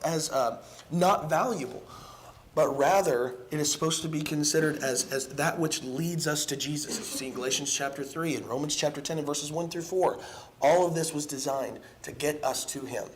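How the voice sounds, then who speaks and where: A hoarse young man giving an evening sermon in a church, earnest and halting, with many ums and restarts. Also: fairly high pitch, poor audio. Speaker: preacher. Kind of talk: evening sermon with casual asides. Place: church sanctuary.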